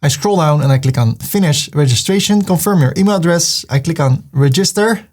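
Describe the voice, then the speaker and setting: A man's voice: on the high side, expressive, brisk, a little mellow. Dutch man giving a tutorial. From Netherlands. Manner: plain step-by-step instructions, reading on-screen prompts aloud. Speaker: web tutorial host. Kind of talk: screen-recorded walkthrough.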